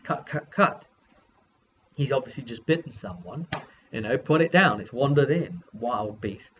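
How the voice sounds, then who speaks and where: A man's voice: mid-range voice, animated, a little slow, fairly bright tone. A man casually recounting a tabletop role-playing session as game master, in a rambling way. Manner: rambling, acts out quoted dialogue informally. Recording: dull lo-fi audio with background noise.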